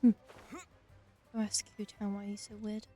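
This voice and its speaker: A woman's voice: medium pitch, completely flat delivery, normal speed, mellow, soft background music. A woman giving casual, wry commentary as she plays through a video game. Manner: wry, dry remarks. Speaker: gaming streamer. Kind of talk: casual let's play commentary.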